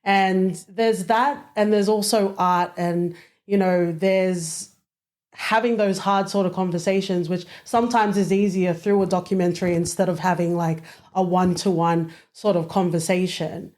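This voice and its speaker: A woman's voice: fairly low pitch, steady tone, a little slow, a little mellow. A woman hosting a podcast, asking thoughtful questions in a chatty way with many fillers. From Australia. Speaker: podcast host. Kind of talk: casual interview questions.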